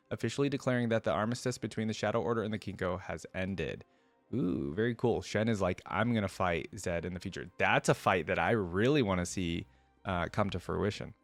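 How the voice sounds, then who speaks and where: A man hosting a reaction video, reading aloud and breaking in with casual, curious commentary as he thinks out loud. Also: on the low side, no room sound. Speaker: host of a lore reaction video.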